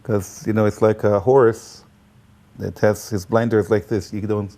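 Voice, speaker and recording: A man from Israel whose Israeli accent is clear, and whose first language is Hebrew. His voice sits low and sounds mellow and dark. He talks in a halting way, with frequent fillers and restarts. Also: little pitch movement. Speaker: guest, tour guide and Bible teacher. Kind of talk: casual conversation.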